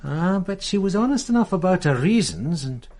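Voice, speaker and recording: An actor playing an earnest, easygoing police sergeant in a radio drama. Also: male voice, high voice, very animated, normal speed, a little mellow, exaggerated voice.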